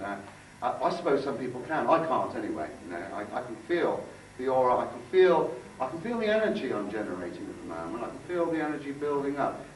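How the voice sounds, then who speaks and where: Bloke giving a workshop talk, halting and chatty, repeats himself, roomy echo, bit of background noise. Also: male voice, medium pitch. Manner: halting, conversational, repeats phrases for emphasis. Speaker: workshop speaker and aura healer. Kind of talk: informal talk with demonstration and guided visualisation. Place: indoor room before a group. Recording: echoey room, distant mic, faint noise.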